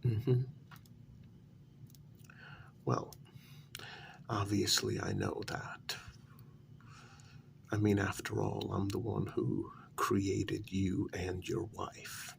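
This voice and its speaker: A man playing a condescending, unhinged asylum patient in a soft-spoken ASMR role-play, halting and matter-of-fact, often slipping toward a half-whisper. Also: fairly low pitch, gravelly, a little hiss or hum, full character voice. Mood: smug, matter-of-fact. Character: condescending, unhinged male asylum patient who believes he created everyone.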